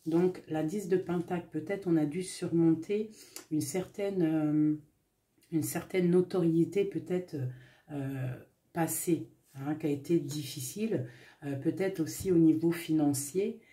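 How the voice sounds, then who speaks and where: A woman's voice: deep voice, unhurried, dark tone. A woman giving a casual tarot reading to camera, chatty and full of fillers, with affectionate asides to her viewers. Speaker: tarot reader. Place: indoors at a table with tarot cards.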